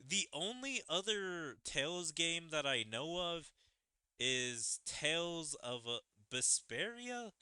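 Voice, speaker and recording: A man giving casual game commentary as a streamer, musing in halting phrases and speaking into a close microphone. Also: fairly high pitch, sing-song, sharp tone, smooth, no echo. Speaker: gaming streamer. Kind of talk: casual game commentary, reading dialogue aloud. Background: game music.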